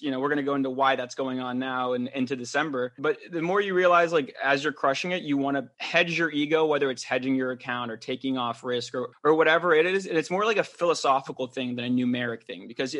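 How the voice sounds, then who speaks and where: Fast-talking young man, rambling. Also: mid-range voice, pushed and bright. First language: French. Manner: fast, rambling, frequent fillers and restarts. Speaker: podcast co-host. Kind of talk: casual podcast chat.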